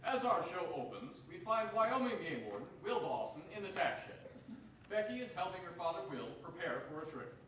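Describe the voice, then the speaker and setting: Old-time radio announcer played by a young man, high, animated voice, grand and upbeat, very roomy stage recording. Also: a little fast. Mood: smooth, narrating. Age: young adult. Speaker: announcer and narrator. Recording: very echoey theater, distant mic, faint noise.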